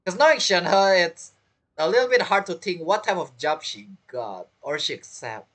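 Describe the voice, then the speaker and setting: Low-pitched, lively woman gamer. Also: moderate pace. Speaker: game streamer. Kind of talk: casual reaction commentary over gameplay. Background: faint game music.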